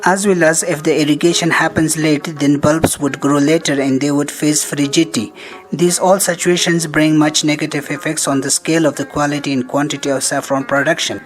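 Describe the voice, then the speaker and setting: A man's voice: on the high side, steady tone, brisk, rough recording. A man reading scripted narration for an instructional film in a formal, step-by-step way. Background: background music.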